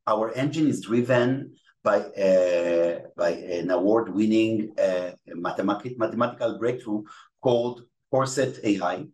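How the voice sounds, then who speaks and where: A man's voice: lowish voice, measured pace, darkish tone. A middle-aged man with an Israeli accent, a native Hebrew speaker, answering as a podcast guest with many fillers and restarts. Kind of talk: podcast interview.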